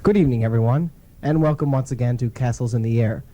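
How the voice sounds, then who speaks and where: A man hosting a radio show, reading a scripted introduction in a measured, formal announcing manner. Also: medium pitch, lo-fi sound, over loud music.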